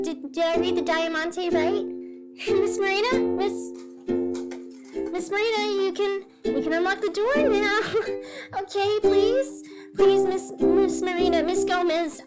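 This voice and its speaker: A woman's voice: very high-pitched, pitched above the performer's own voice, heavily acted. A woman voicing a timid intern in an audio drama, nervous and eager to please. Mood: nervously eager. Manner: nervous, stammering, pleading.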